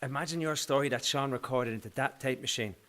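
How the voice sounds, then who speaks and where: A grown Irish son, acted by a man in a radio play, friendly and encouraging. He chats quickly at a middling pitch. Faint noise sits on the recording. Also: sharp tone, natural voice. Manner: chatty, encouraging.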